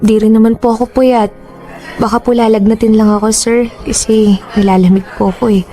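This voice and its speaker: Isang babaeng gumaganap bilang batang empleyada sa opisina, magalang at balisa, madamdamin ang pagbigkas sa dramang panradyo. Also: female voice, a little high, level tone, brisk, dark tone, faint music behind, natural voice. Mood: weak, polite. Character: young female office worker, polite, anxious and tearful. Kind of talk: Tagalog radio drama acting.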